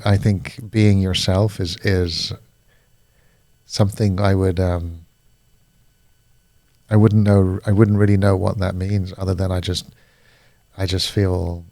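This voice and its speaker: British guy, lowish voice, thoughtful podcast guest feeling his way through, dry close sound. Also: creaky, darkish tone, dry recording. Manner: reflective, searching for words. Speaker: guest, coach. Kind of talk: casual podcast conversation.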